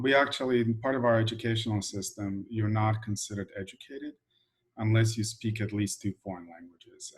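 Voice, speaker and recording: A middle-aged man from Croatia, chatting in a relaxed, filler-heavy way as a guest at an info session. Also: on the low side, husky, poor audio. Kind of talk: casual informative talk.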